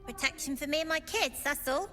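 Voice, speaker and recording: High, bright street girl. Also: a little fast. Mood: defiant. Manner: cheeky, informal street grammar. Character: scrappy, defiant streetwise young gang-leader girl. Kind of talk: video game character acting. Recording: music behind the voice.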